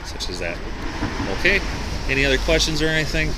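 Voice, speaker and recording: A man's voice: fairly high pitch, big pitch swings, a little fast. A man speaking as a police officer, casually explaining rules in a conciliatory, hedging way outdoors. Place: outdoors on a city sidewalk. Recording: loud busy street noise outdoors.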